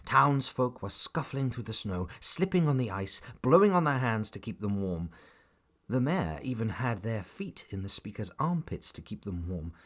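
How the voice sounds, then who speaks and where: A man narrating a family audio drama as a scripted storybook tale, dramatic and whimsical. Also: fairly low pitch.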